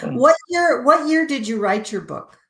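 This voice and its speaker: A woman's voice: high-pitched, lively intonation, measured pace, gravelly, fairly bright tone. Appreciative woman host in an echoey room. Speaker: interview host. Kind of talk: conversational interview.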